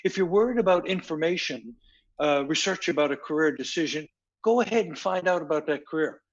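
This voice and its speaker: A man acting as a counselor, giving measured, instructive advice in plain sentences. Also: a little high, dull audio. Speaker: counselor co-host.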